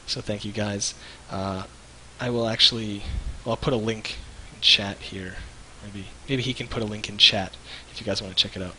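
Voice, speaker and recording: A man's voice: lowish voice, fairly even intonation, moderate pace, hardly any pauses, pushed and bright, slight background noise, rough recording. A man co-hosting a live stream in casual, jokey chat, rambling and searching for words. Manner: rambling, loose, jokey, hesitant.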